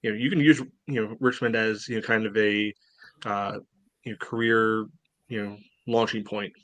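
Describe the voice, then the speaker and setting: Brief: male co-host, rambling and filler-heavy, flat delivery, dry close mic. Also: fairly low pitch, normal speed, dry recording, rough recording. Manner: rambling, many "you know" fillers. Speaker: podcast co-host. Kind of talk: casual sports chat.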